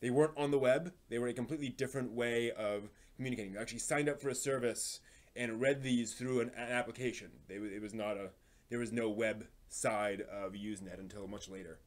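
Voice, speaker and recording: A man's voice: mid-range voice, fairly even intonation, brisk. Guy hosting a livestream, just chatting, kinda hesitant and rambly. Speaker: livestream host. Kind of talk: casual livestream commentary.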